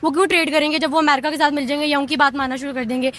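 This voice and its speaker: A woman's voice: high-pitched, flat delivery, racing, fairly bright tone. A woman with a Pakistani accent giving off-the-cuff opinions in an interview, mixing in English words.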